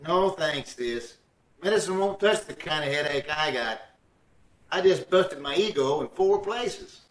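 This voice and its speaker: A man's voice: on the high side, expressive, a little slow, far from the mic. A man playing a blustering, hapless husband with folksy, slangy wisecracks in old-time radio comedy style. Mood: glum. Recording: roomy, noisy online call, off mic.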